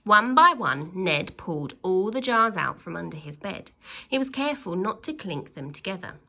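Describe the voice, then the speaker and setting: A woman reading a children's story aloud expressively, with theatrical, drawn-out emphasis on key words. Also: medium pitch, very animated, gravelly, pushed and bright, slight background noise.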